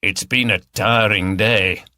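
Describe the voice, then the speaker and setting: A man's voice: fairly low pitch, steady tone, slow, pushed and bright, dry recording, exaggerated voice. A man voicing a tired, good-humoured older male detective with a mild, self-deprecating manner in an audiobook. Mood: sheepish, drowsy.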